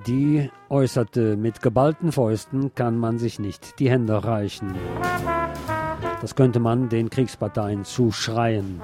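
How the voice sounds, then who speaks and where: A man's voice: mid-range voice, fairly even intonation, slow. A man working as a radio host, reading out quotations and short commentary as he presents music.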